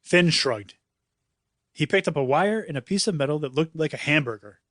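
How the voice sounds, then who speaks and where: Animated man narrating a children's story. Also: a little high, moderate pace, no echo, studio quality. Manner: dramatic, playful storytelling. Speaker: author reading his own audiobook.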